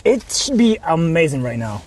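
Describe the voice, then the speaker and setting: Male IRL streamer, native Japanese with a Japanese accent, highish animated voice, casual slangy delivery, faint vehicle noise. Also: normal speed, slightly rough. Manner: repeats himself, slangy. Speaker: livestreamer. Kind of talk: casual livestream chat.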